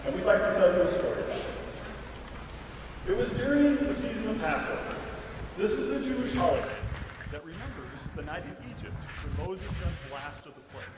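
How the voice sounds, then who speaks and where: An earnest disciple played by a man tells and explains his story in a church drama. The voice is lively and varied in pitch, a little high, and reverberates through the hall. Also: brisk, heavy background noise. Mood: explanatory, earnest. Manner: expressive, explanatory. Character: earnest disciple of Jesus recounting the Last Supper. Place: church, live performance.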